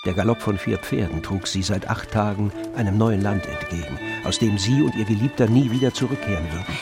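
A man narrating a literary radio drama in a measured, storytelling manner. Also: lowish voice. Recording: dry studio, faint music underneath.

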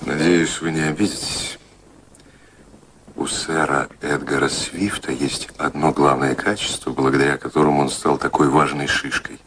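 An actor playing a worldly, cynical gentleman in a Russian radio drama, ironic, persuasive and theatrical in manner. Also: extremely low pitch, flat delivery, moderate pace, a little hiss or hum.

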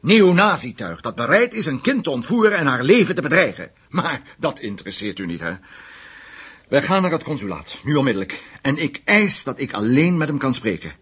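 Husky, menacing male interrogator. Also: medium pitch, very animated, brisk, fairly bright tone. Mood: stern, indignant. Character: hard, vengeful male investigator, survivor of Nazi camps. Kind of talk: radio play acting.

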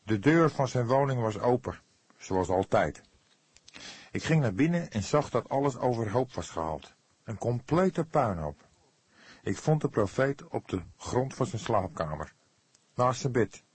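A man's voice: mid-range voice, very animated, slightly rough, dry recording, voice pushed up. Een man die in een luisterboek een angstige jonge verdachte speelt, verdedigend, oprecht, emotioneel en soms haperend. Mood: shaken, emotional. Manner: halting, pleading, earnest. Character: anxious young male suspect, defensive and emotional. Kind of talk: audiobook character dialogue.

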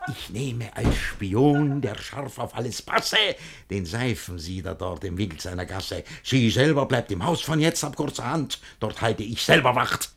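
An actor playing a jealous, scheming middle-aged master, declaiming rhymed comic verse in a radio play with dramatic outbursts. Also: male voice, medium pitch, a little slow, gravelly, few pauses, a bit bright, a little hiss or hum. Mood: suspicious, brooding. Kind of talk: theatrical rhymed verse comedy radio acting.

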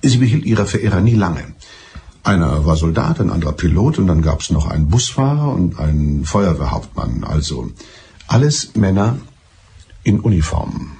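An actor in a German radio drama playing a mild, evasive, smugly clever betrayed husband who talks in a deliberate, circuitous way. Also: male voice, low-pitched, big pitch swings, gravelly, warm tone, a little hiss or hum, full character voice. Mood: wistful, matter-of-fact.

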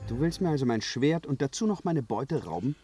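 Man acting a brash, animated young hero. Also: medium pitch, fairly quick, soft background music, natural voice. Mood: blunt suspicion. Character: bold, blunt young male dragon-slaying hero. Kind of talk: German fantasy radio play acting.

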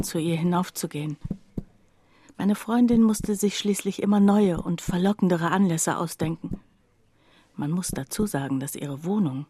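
A woman's voice: mid-range voice, warm tone, husky, no room sound. A woman narrating a literary radio drama monologue, confiding and precise, as someone wryly recounting a poor friend's troubles.

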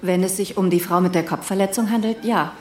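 An actress in a German radio drama playing a brisk, matter-of-fact hospital receptionist. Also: female voice, mid-range voice, a little mellow, lightly acted. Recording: roomy, echoing interior.

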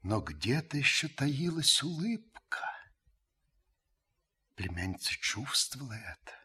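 An old French uncle narrating a radio drama, reserved and watchful. His voice is very husky yet forceful, unfolding the story slowly in measured literary phrases. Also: medium pitch, very animated, heavily acted. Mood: watchful, restrained.

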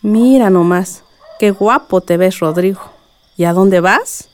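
A woman's voice: medium pitch, slow pace, a little mellow, big-room sound, close to an everyday voice. A woman playing a fond but suspicious middle-aged village mother in a radio soap opera. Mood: fond, curious.

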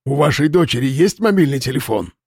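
A man's voice: medium pitch, lively intonation, brisk, creaky, darkish tone, husky, dry recording, studio quality. A man voicing a stern, weary older police investigator in an audiobook, with a clipped, commanding manner. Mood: insistent.

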